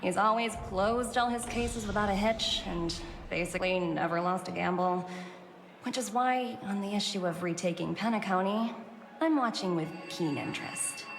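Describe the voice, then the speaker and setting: A woman voicing a poised, brisk young corporate executive in a video game, composed and businesslike. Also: mid-range voice, background noise, close to an everyday voice. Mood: measured. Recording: background music under the voice.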